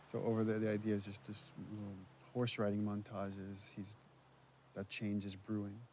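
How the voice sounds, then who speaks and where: A man's voice: on the low side, completely flat delivery, moderate pace, darkish tone, slight background noise. A man giving casual, explanatory commentary as a game's writer and director. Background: game cut-scene sound.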